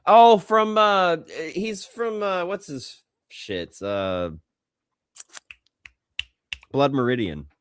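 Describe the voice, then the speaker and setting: A man's voice: high-pitched, expressive, very slow, long pauses, clean tone, no echo. A man co-hosting a podcast in casual pop-culture banter, speaking emphatically and with casual swearing. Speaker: podcast co-host.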